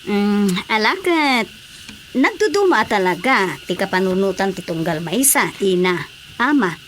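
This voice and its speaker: A woman's voice: medium pitch, big pitch swings, a little slow, a bit bright. A woman playing a concerned, persuasive village councilor in an Ilocano Filipino radio drama, coaxing and insistent. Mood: worried, insistent. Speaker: voice actor. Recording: faint background noise.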